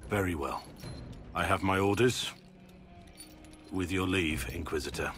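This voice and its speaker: An actor playing a gruff, weary middle-aged soldier in a video game, grave, measured and earnest, full of remorse and resolve. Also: male voice, low-pitched, fairly bright tone, soft background music. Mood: resigned. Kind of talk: dramatic video game acting.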